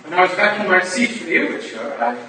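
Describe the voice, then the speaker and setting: Casting: actor as tipsy upper-class braggart, highish animated voice, swaggering and confiding, period stage drama. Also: male voice, fast, full character voice. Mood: self-important. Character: boastful, drunken upper-class English gentleman rake. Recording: echoey room from far off, noisy.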